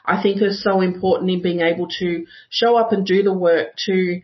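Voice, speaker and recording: A woman's voice: on the low side, fairly even intonation, moderate pace. A woman speaking earnestly as a guest on a panel conversation. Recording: dull lo-fi audio.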